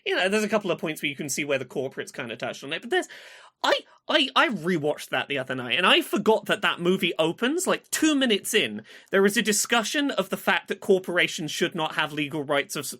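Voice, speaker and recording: Lively, bright-voiced woman podcaster. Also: on the low side. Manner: animated, swears freely, frequent restarts. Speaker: podcast co-host. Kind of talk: casual chat.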